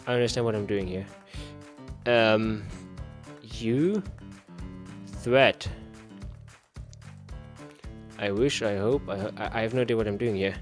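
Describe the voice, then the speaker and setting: A man's voice: fairly low pitch, measured pace, pauses often, faint music behind. A man giving a casual playthrough commentary, reading game text aloud and muttering to himself in repeated phrases. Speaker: gaming video host.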